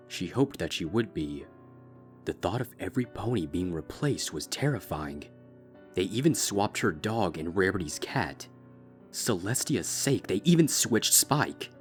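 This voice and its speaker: A man with a low, deep voice reads a dark story aloud in a steady, measured way. Soft music sits faintly underneath. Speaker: fanfic reader. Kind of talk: scripted narration.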